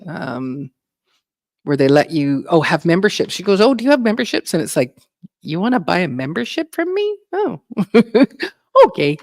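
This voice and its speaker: A woman's voice: on the low side, very animated, moderate pace, darkish tone, no echo, studio quality. A woman hosting a casual livestream, chatting with viewers and a guest, laughing often and filling her talk with ums and restarts. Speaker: livestream host.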